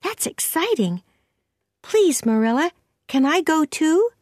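Young girl character, acted by a woman, high voice, eager, earnest, pleading, storybook, lively swoops. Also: takes it slowly, no echo, clean studio sound, heavily acted. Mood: excited, pleading.

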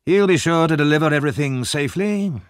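A fierce old storybook gentleman, acted out by a male reader, challenging and scornful. His pitch sits raised and moves about a lot, in a dry, close recording. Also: very animated, moderate pace, no echo. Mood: sly doubt. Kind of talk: audiobook character reading.

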